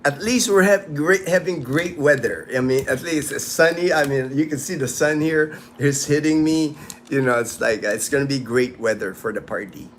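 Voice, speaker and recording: A man's voice: a little high, normal speed, slight background noise. A man in his late fifties hosting a casual livestream, chatting with viewers in a rambling, easygoing way with lots of restarts, outdoors in the sun. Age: 50s. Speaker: livestream host. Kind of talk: casual livestream chat reading viewer comments.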